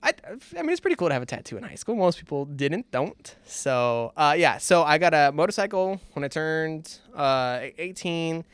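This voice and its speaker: A man's voice: a little high, very animated, moderate pace, clear, resonant tone. A young man with a Californian accent, chatting and telling anecdotes as a podcast host in an opinionated, emphatic, rambling way. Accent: Californian American. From California, United States. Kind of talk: casual chat, anecdotes.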